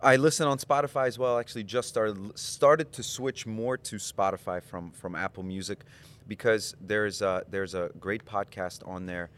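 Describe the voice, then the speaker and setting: A man's voice: on the low side, fairly even intonation, moderate pace. A man, the guest on a podcast at a conference, talking in easy, playful banter with frequent laughs. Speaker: guest, company CEO. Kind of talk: casual podcast interview. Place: conference venue.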